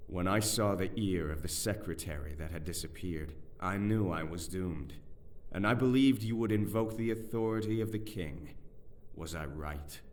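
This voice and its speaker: A man's voice: low voice, normal speed, darkish tone, far from the mic, natural voice. An actor playing a proud, indignant young nobleman in an audio drama, speaking in a formal, declamatory way. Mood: wary, bargaining.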